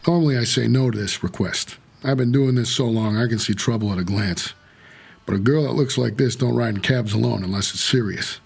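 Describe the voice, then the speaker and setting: An actor playing a world-weary, streetwise New York cab driver, narrating a noir audio drama in a wry, hard-boiled way with a gravelly, husky voice. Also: male voice, mid-range voice, fairly quick.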